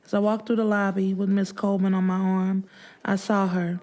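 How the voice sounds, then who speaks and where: A woman's voice: medium pitch, little pitch movement, normal speed, darkish tone. A woman narrating first-person fiction as an audiobook, with emotive, sorrowful delivery.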